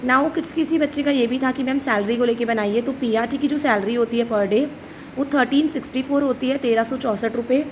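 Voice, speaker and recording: A hoarse woman, a teacher, answering viewers' questions one by one and mixing English phrases into her Hindi. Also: high voice, steady tone, brisk, slight background noise. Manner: mixes English into Hindi, explanatory and direct. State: hoarse from shouting at school. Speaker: teacher and channel host.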